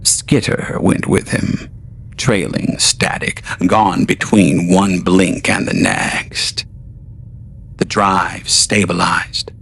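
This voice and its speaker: A man narrating a scripted audio drama in a slow, solemn, deliberate way. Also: low-pitched, vocal fry, fairly bright tone, a little hiss or hum. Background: faint music.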